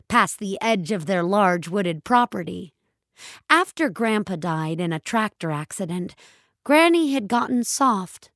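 A woman narrator reading a scripted dramatic story in a measured, deliberate way. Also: mid-range voice, no room sound, clean studio sound.

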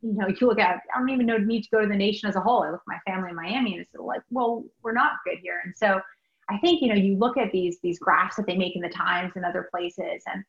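A middle-aged American woman, a guest doctor answering interview questions in a thoughtful, halting, conversational way. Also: medium pitch, gravelly, a bit bright. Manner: thoughtful, halting, peppered with like and you know. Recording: roomy, dull lo-fi remote recording.

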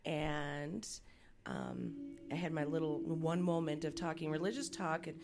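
Woman, 30s, low voice, laughs a lot, radio co-host. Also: big pitch swings, measured pace, over music. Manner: giggly, storytelling. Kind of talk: casual radio banter.

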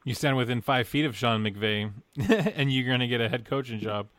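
A man's voice: medium pitch, moderate pace, a bit bright, no room sound, clean studio sound. A man co-hosting a podcast, talking in a rambling, laid-back, jokey way. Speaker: podcast co-host. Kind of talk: casual podcast chat.

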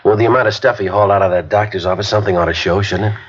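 An actor playing a terse, matter-of-fact police detective who also narrates, in a 1950s radio drama, with a clipped, deadpan delivery. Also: male voice, lowish voice, very fast, slightly rough. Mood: businesslike. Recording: dull, lo-fi 1950s radio broadcast with music behind.

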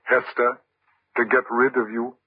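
An actor playing an exasperated, bewildered English squire and husband in stiff, protesting old-time radio drama style. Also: male voice, medium pitch, level tone, a little slow, breathy edge. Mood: incredulous, alarmed. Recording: muffled old radio broadcast recording.

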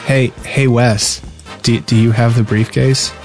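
A man's voice: medium pitch, steady tone, measured pace, a little mellow, faint music behind. A man hosting a casual gaming podcast with joking, teasing banter. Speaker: podcast lead host.

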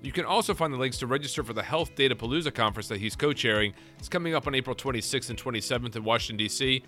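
A man's voice: mid-range voice, racing, sharp tone, faint music behind. A man hosting an interview podcast, asking questions and closing the episode in an upbeat, polished way. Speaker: podcast host.

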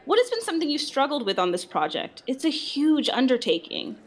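An actress playing a perky, slightly clumsy entertainment interviewer. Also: female voice, high-pitched, sing-song, brisk. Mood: bubbly. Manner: bubbly and a bit clumsy.

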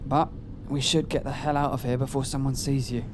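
A woman's voice: very deep voice, level tone, fairly quick. A woman playing a loyal, anxious and wry relative of a fugitive in a naturalistic audio drama. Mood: concerned, sincere. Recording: noisy car ambience.

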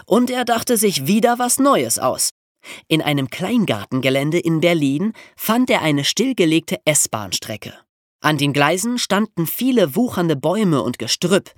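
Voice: mid-range voice, very animated, measured pace, dry recording, clean studio sound. A podcast host telling true crime stories for young listeners in an amused, colloquial way.

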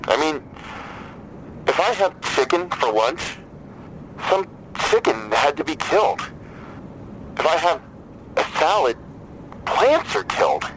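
A man acting in an audio drama as a troubled, despairing caller, speaking in a halting, brooding way with stammers and trailing phrases. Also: fairly high pitch, sing-song, a bit bright, slight background noise, exaggerated voice, poor audio. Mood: bleak, brooding. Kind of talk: full-cast audio drama dialogue.

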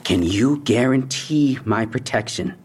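An actor playing a defensive young robbery suspect in an audio drama, with husky, slangy, evasive talk and tough posturing over fear. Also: male voice, mid-range voice, measured pace. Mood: bitter, resentful. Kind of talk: naturalistic audio drama acting.

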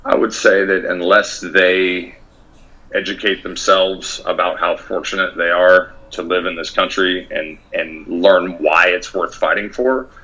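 A man being interviewed about his past in a measured way, with frequent fillers and restarts. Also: low voice, steady tone, sharp tone, muffled recording. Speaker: guest, former soldier.

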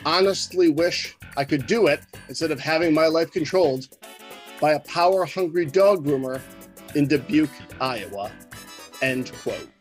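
A man with a slightly high voice speaks deadpan on a podcast, measured as if reading to his co-hosts. Faint music plays behind him. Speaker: podcast contributor. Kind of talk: podcast talk and reading aloud.

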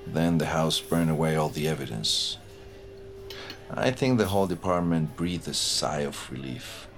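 An actor playing a weary, haunted retired detective in his sixties, talking plainly and haltingly in an audio drama. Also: very deep voice, a little hiss or hum. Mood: grim, brooding. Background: music.

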